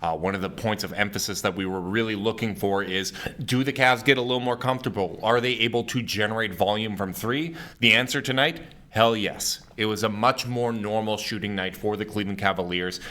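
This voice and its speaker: Male sports podcast host, slightly hoarse and raspy; low, forceful delivery, emphatic casual analysis. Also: fairly quick, hardly any pauses. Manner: energetic, emphatic basketball analysis.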